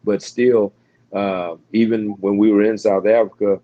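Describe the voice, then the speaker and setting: An American man, a pastor, talking in a relaxed interview with frequent fillers and restarts. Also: on the low side, no echo, highs cut off. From United States. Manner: halting, many restarts and fillers. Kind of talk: casual interview conversation.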